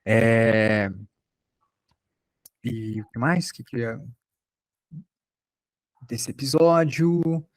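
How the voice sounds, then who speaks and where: A man's voice: medium pitch, drawn-out words, long pauses, dry recording. Brazilian guy explaining stuff in an online class, chatty teacher, really dry sound. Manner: explanatory, conversational. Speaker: teacher, podcast maker. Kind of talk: informal online workshop lecture.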